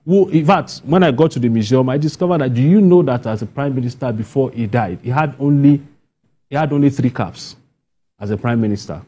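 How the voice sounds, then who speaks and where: A man's voice: medium pitch, a little fast, warm tone, breathy edge. A man with a Nigerian accent giving spontaneous interview answers, emphatic and repeating key phrases for stress. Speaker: interview guest, playwright.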